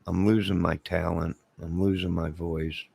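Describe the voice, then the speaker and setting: A middle-aged man, hoarse from singing, rambling casually to viewers on a late-night livestream and greeting them one by one. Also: deep voice, little pitch movement, normal speed, a little mellow. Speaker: livestream host.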